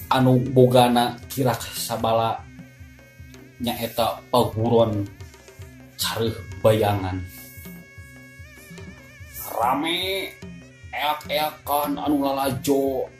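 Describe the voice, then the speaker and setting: An echoey recording with music behind carries a Sundanese-accented storyteller. The delivery is very unhurried and polite, addressed straight to the listeners as a folk tale is presented. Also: medium pitch, darkish tone. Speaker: storyteller and channel host. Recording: echoey voice over clear background music. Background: background music.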